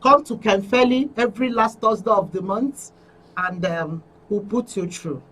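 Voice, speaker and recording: A woman's voice: mid-range voice, moderate pace, a bit bright. A Nigerian woman speaking informally as a guest in a studio, addressing the public. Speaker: studio guest, guild election candidate. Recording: slightly distant microphone.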